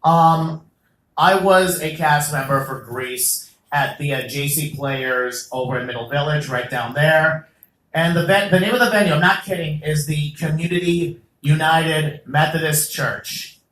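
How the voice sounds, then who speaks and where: Man, stand-up comedian, animated and jokey, echoey small venue. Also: on the high side, a little slow. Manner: animated, jokey, loud bursts on punchlines. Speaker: stand-up comedian and open mic host.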